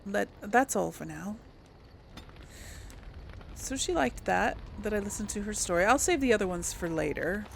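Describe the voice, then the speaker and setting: A woman's voice: medium pitch, animated, moderate pace, gravelly, pauses often, slight background noise. A woman doing casual let's-play commentary, musing aloud and replying in role to game characters. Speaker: gaming host role-playing through a game.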